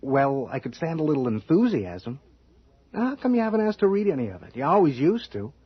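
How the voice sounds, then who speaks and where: Give me an irritable, overbearing writer husband in a radio mystery, husky and agitated. His pitch jumps around as he grows alarmed, all on a dull old recording. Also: sing-song, fairly quick, no room sound. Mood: needy, sarcastic. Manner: agitated, hesitant, exclaiming. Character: tense, irritable male novelist, overbearing husband growing alarmed. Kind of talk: old-time radio drama acting.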